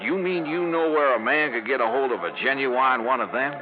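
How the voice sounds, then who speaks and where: A man's voice: mid-range voice, brisk, narrow, tinny audio, over music, natural voice. An actor voicing a brash, rich, folksy Texas oilman with a Texan accent, in 1950s radio style. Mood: boastful, folksy. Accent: Texan, put on. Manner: folksy, boastful. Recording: band-limited 1950s radio recording.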